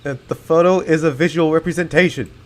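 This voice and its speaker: Man, gameplay commentary, casual, jokey, excitable, slightly high voice. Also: quick pace. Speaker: gaming content creator.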